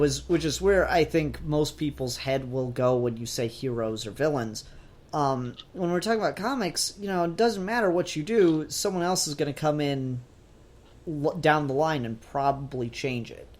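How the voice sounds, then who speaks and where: A man's voice: fairly high pitch, normal speed. A man co-hosting a podcast, talking casually and informally about pop culture. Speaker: podcast co-host. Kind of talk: casual discussion of comics and TV.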